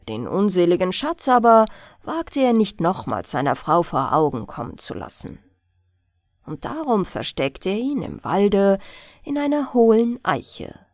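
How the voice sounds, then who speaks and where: Expressive, unhurried woman reading a bedtime fairy tale. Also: medium pitch, no room sound, clean studio sound. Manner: expressive storytelling. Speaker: audiobook reader. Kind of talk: scripted fairy-tale narration, bedtime audiobook.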